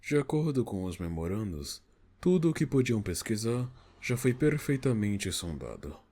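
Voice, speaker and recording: A man's voice: on the low side, sing-song, normal speed, warm tone. A man with a Brazilian accent acting a reluctant, formal older negotiator in an audio novel. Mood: resigned, explaining. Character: reluctant, cornered older male negotiator, exasperated.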